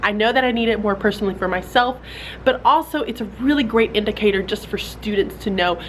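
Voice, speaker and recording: A woman's voice: mid-range voice, quick pace, rough recording. Young woman teacher, casual vlog delivery, mildly congested, chatty with fillers; light background noise. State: slightly stuffy from a cold. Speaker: fourth grade teacher. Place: classroom.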